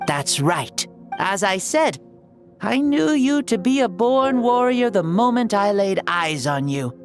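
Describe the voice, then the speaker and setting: A woman's voice: mid-range voice, big pitch swings, takes it slowly, faint music behind. A woman voicing an ancient male warrior god stuck in a boy's body, playful and boastful with a grand manner. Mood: grandiose.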